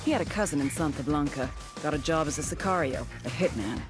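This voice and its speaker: An actress plays a brisk female intelligence handler in a game cutscene. She briefs in a blunt, wry, scripted style, with clear music underneath her voice. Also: sits low, natural voice. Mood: sardonic. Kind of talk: scripted narration, mission briefing.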